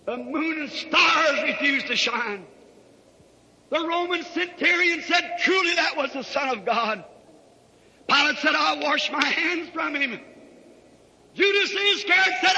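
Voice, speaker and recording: A man preaching a fervent revival sermon in a dramatic, declamatory style. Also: very high voice. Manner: dramatic, declamatory delivery with emphatic shouts. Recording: reverberant hall recording.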